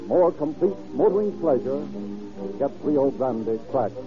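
A man's voice: mid-range voice, lively intonation, measured pace, dull audio, over music. An American man working as a 1930s radio announcer, reading scripted narration in a formal, declamatory way. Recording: old 1930s radio broadcast recording.

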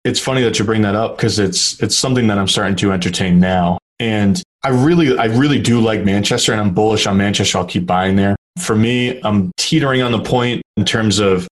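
A young man with a deep, low voice talks through his ideas in a relaxed interview. He hedges and restarts often, pausing on fillers as he thinks. He grew up in New Hampshire. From New Hampshire, USA. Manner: thoughtful, hedging with fillers and restarts. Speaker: real estate investor guest. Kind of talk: casual interview talk.

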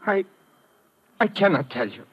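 A man's voice: high-pitched, big pitch swings, unhurried, husky, muffled recording, full character voice. A man playing a frightened, pleading elderly servant in a 1950s radio drama. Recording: old radio broadcast with noise.

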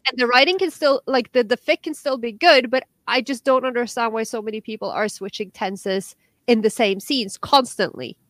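A woman's voice: a little high, normal speed, a bit bright, dry recording. A young woman from Norway, a podcast co-host chatting casually and animatedly, with many restarts and fillers. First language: Norwegian. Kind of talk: casual chat.

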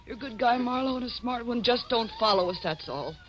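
A woman's voice: a little high, expressive, fairly quick, lightly acted. A woman playing a lovesick, dangerous femme fatale with intense 1940s radio melodrama delivery. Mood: resigned, lovelorn. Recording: noisy old radio recording.

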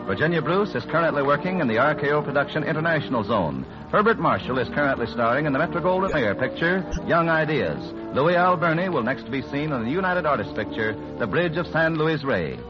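A man's voice: a little high, lively intonation, brisk, few pauses. A man announcing a 1940s radio show from a script in a formal, polished showman's delivery. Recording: dull vintage radio recording, music behind.

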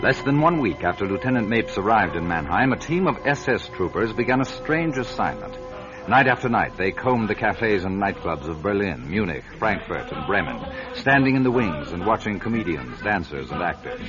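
A man's voice: fairly low pitch, very animated, normal speed. An earnest, driven newsman. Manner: earnest, urgent storytelling. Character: driven male network journalist narrating his find. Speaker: narrator. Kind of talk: 1970s radio drama narration. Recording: muffled old radio broadcast with music.

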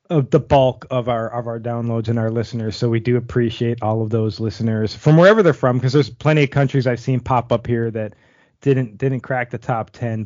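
A man of middle pitch hosts a podcast, running through a ranked list and sincerely thanking his listeners. The sound is dry and close. The recording is a little dull. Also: dark tone, no echo. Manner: countdown announcing, earnest and thankful. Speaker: podcast host. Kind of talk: casual chat.

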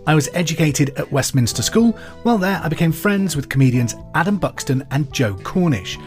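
Male quiz host, reads questions twice, clear delivery, faint music under. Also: a little high, lively intonation, fairly quick. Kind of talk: pub quiz podcast hosting.

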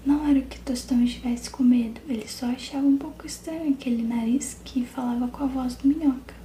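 A woman narrates a children's story in a soft, steady whisper. Her hushed voice sits slightly high yet very dark. The room around her is echoey, with a faint background hum. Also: a little fast.

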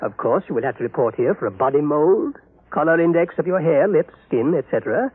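An actor playing a discreet, self-assured maker of illicit human duplicates in an old radio drama, formal and unctuous. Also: male voice, a little high, lively intonation, fairly quick, husky. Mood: smoothly formal. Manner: formal, clipped, unctuous salesman. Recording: dry, tinny old radio recording.

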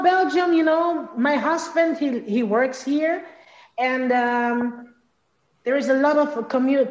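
A woman's voice: sits high, a little mellow, roomy sound, highs cut off. A young woman from Congo whose first language is Lingala, speaking in a casual interview and often repeating words as she searches for phrasing. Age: young adult. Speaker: interview guest, singer.

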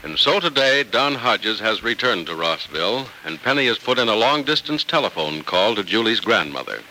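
A male announcer reads in polished 1940s radio style. His mid-pitched voice is very sharp and swings widely in dramatic delivery. The recording carries faint old noise. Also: big pitch swings, normal speed, rough recording. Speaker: announcer and narrator. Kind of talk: 1940s radio scripted narration and ad reading.

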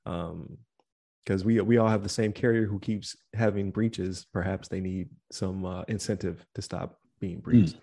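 A man's voice: low voice, unhurried, vocal fry. A man co-hosting a podcast in casual chat, rambling with fillers, his voice starting to give out. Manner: relaxed and rambling, many fillers, reads out the show's links at the close. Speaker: podcast co-host.

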